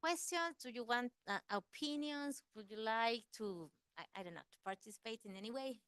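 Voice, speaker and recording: A woman's voice: a little high, moderate pace, a bit bright, clear, resonant tone, no echo. A woman from Ecuador speaking English with an Ecuadorian Spanish accent, giving a seminar talk and answering questions earnestly, searching for words. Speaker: guest speaker, environmental campaigner.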